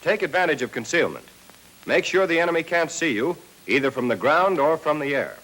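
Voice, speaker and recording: An American man narrating a 1940s military training film, reading a scripted lesson and addressing trainees directly with clear instructions. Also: fairly high pitch, animated, a little fast, fairly bright tone, a little hiss or hum, low-quality recording.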